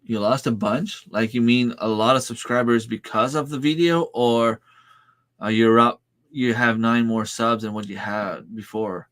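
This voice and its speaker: French Canadian man, 30s, native French speaker; slow, relaxed livestream host, hesitant and friendly with chat. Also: mid-range voice. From Northern New Brunswick, Canada. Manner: easygoing, hesitant, many fillers and restarts. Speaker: farm channel host. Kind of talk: casual livestream chat, answering viewer comments.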